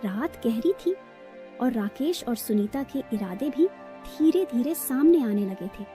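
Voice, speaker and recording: A woman narrates a moral tale in a soft, warm voice pitched a little high. Her delivery is scripted storytelling, laid over faint music. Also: expressive, measured pace.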